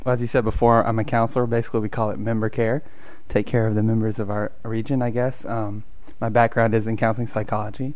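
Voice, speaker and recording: A young man who grew up in Argentina, a mission counselor, answering interview questions in a relaxed, rambling way with many fillers. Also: lowish voice, fast talker, few pauses, no echo.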